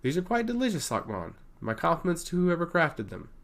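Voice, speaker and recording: A man voicing a calm, courteous envoy in a fantasy story, speaking formally and politely. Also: a little high, fairly quick, creaky, voice pushed up, heavily acted. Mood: polite appreciation.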